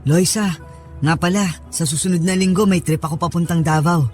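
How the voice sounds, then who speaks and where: Guy playing a sweet young husband, high voice, casual and coaxing, radio drama with music behind. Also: steady tone, brisk, lightly acted. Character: Peter, affectionate, reassuring young husband.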